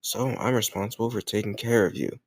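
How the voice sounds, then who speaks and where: Casting: male actor as cocky teen jock bully, lowish voice, smug but secretly soft, close dry intimate roleplay audio. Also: lively intonation, a little fast, vocal fry, slightly rough, no echo, full character voice. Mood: smug, teasing. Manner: teasing, smug, hesitant murmured asides. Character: cocky, popular teenage football captain bully, secretly tender. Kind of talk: intimate scripted audio roleplay addressed to listener.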